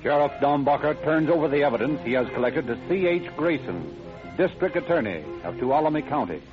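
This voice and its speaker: An actor playing the solemn, deliberate narrator of an old-time radio crime drama. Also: male voice, on the high side, lively intonation, natural voice. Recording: dull vintage radio recording. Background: music.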